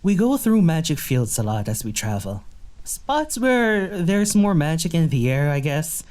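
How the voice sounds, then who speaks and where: A woman's voice: low-pitched, very animated, measured pace, a little mellow. A woman acting a curious, easygoing young traveler in an audio drama, musing conversationally with some hesitation. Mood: musing, wonder.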